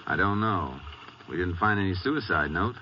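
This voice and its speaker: A deep, calm American lawman, shrewd and understated, from a 1950s radio western. The old recording is dull, with clear noise all around the voice. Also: moderate pace. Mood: calm, reasoning. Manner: laconic, understated. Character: calm, shrewd Texas Ranger investigator, male.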